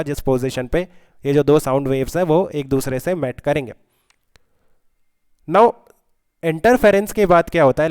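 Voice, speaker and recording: Clear-voiced Indian man teaching, slightly high-pitched. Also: a little mellow, no room sound. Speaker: physics teacher. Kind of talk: explanatory lesson, code-switching between Hindi and English.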